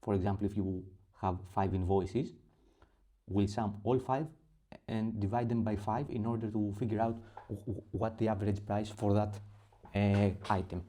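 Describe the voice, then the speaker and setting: A man from Greece speaking English as his second language with a Greek accent, walking through a spreadsheet template in a halting tutorial full of pauses and fillers. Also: lowish voice, fairly even intonation, mellow. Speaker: template creator. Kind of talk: screen-recorded software tutorial.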